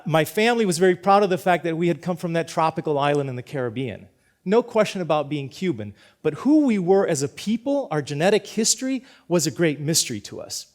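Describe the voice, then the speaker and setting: Man, middle-aged, from Cuba, teacher, earnest storytelling talk, slightly high voice, frequent restarts. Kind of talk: prepared personal talk.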